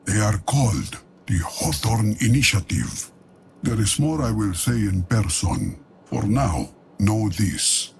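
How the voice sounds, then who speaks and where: A deposed dynasty founder gives a slow, secretive briefing in a video game cutscene. His voice is deeply gravelly and low. The words come out unhurried. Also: very animated, a little hiss or hum, heavily acted.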